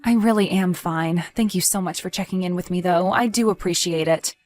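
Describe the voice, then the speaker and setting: A woman's voice: fairly low pitch, a little fast, a little mellow, faint music behind, natural voice. A woman playing a polite, reassuring but evasive wife in a scripted audio story, phrasing things neatly. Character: evasive, cheerful wife chatting with her husband.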